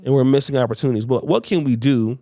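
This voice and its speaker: A man's voice: medium pitch, fast talker, mellow, dry recording, clean studio sound, soft background music. A man hosting a podcast discussion, often stumbling and repeating words as he searches for his phrasing. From United States. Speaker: podcast host.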